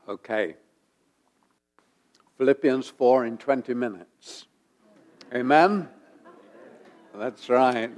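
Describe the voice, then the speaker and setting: A man preaching a sermon while he has a head cold, his voice gravelly, pausing often with ums and uhs and repeating phrases for emphasis. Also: medium pitch. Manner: halting, many ums and uhs. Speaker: pastor.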